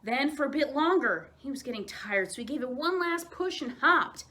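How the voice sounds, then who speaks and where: Female children's storyteller, higher-pitched voice, very animated delivery with dramatic pauses. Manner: expressive, with dramatic emphasis and pauses. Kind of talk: read-aloud children's storytelling.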